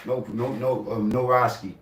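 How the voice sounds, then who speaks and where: A man's voice: mid-range voice, steady tone, moderate pace. A man giving casual, joking reaction commentary on a video, loose and profane, often repeating himself. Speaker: reaction streamer. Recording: roomy echo, faint noise.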